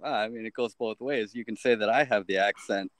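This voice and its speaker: A man in a band, a guest on a podcast, answering in a relaxed, laid-back way. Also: lowish voice, a little fast. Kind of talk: casual interview chat.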